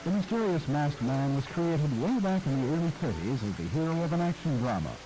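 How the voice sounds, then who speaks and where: A man's voice: a little high, sing-song, a little fast. A man hosting with scripted narration addressed to the listener, dramatic and emphatic in phrasing, recorded with rain noise over it. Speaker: host of radio nostalgia documentary. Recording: noisy archive audio under rain.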